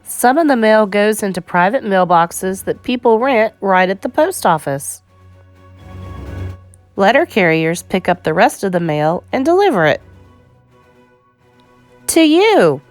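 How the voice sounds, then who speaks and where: A woman reading an educational children's picture book aloud in a measured, clearly phrased storytime delivery. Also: medium pitch, big pitch swings, soft background music.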